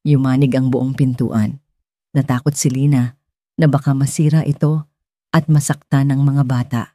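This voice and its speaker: A woman's voice: very deep voice, fairly even intonation, normal speed, dark tone, dry recording. A woman narrating a scripted dramatic story as an audiobook reader.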